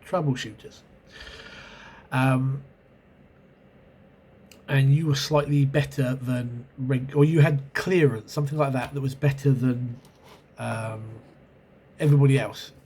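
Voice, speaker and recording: A man's voice: medium pitch, steady tone, a little slow, pauses often, dark tone, slight background noise. Congested man rambling through a game review. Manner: rambling, hesitant, many ums and self-corrections. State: congested with a cold and sore throat. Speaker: channel host reviewing role-playing games. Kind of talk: casual spoken video review. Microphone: camera mic.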